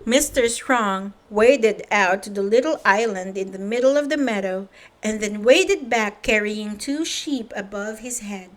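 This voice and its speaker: A woman's voice: mid-range voice, a little slow. A woman reading a children's story aloud, narrating and speaking directly to young listeners.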